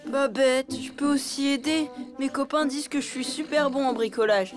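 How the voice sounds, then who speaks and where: A confident young elephant boy in a kids' cartoon, voiced by a woman. The voice is very high, boyish and eager, with music playing underneath. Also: normal speed, close to an everyday voice. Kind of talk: children's cartoon dialogue. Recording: music behind the voice.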